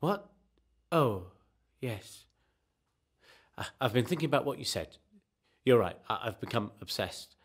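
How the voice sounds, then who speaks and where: A man's voice: mid-range voice, lively intonation, measured pace, pauses often, breathy edge. A man playing an obsessive, fragile geography teacher in a comedy radio play, stammering and pedantic. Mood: sheepish, evasive. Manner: hesitant, stammering.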